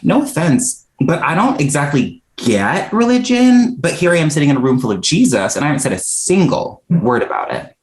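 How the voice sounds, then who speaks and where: A man's voice: on the high side, very animated, normal speed, hardly any pauses. A man acting a frank, exasperated young non-binary dinner guest in a comedy script table read, talking in a candid, wry way. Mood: exasperated, sardonic.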